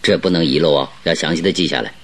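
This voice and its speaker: An actor playing an attentive, methodical detective, measured and probing, in a radio drama. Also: male voice, very deep voice, fairly bright tone, heavily acted. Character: attentive, methodical male detective questioning a client. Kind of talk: Mandarin radio drama acting. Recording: radio drama with music behind.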